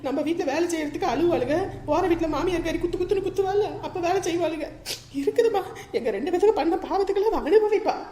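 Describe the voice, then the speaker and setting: Woman acting high-pitched, aggrieved village mother. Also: quick pace, mellow, a little hiss or hum. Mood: bitter scolding. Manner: plaintive, lamenting, scolding. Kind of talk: amateur village family drama acting. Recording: roomy, echoing voice recording.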